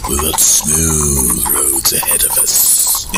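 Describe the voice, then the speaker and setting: An actor playing a sinister, folksy carriage driver with a gravelly voice and drawl in a horror audio drama. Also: male voice, very deep voice, steady tone, very slow, over loud music, full character voice. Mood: sly, reassuring.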